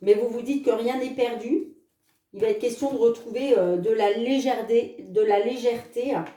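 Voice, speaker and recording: A woman's voice: on the high side, warm tone, echoey room, far from the mic. A woman reading oracle cards for her viewers in a casual, chatty way, with many filler words. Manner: chatty, fills with "hein" and "les amis"; reads card messages slowly. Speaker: tarot card reader.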